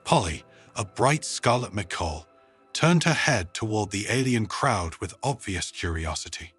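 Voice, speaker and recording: A man narrates a scripted story with expressive, dramatic delivery. His pitch moves around in a lively way and his voice has a slight huskiness. Faint music plays underneath. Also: a little slow, husky, no room sound. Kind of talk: scripted audiobook-style narration.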